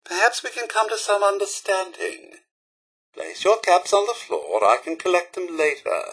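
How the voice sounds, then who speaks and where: An actor playing a prim, fussy, snobbish robot butler in a video game, with a British accent and a formal, pompous, theatrically polite manner. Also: male voice, high voice, animated, moderate pace, fairly bright tone, slightly rough, exaggerated voice. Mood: haughty, polite. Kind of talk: scripted game companion dialogue.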